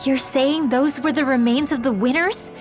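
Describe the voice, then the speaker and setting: A woman's voice: high voice, normal speed, faint music behind, natural voice. A woman voicing an earnest young girl adventurer in a dramatic video game dub, with a slightly hesitant manner. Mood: uneasy, wondering.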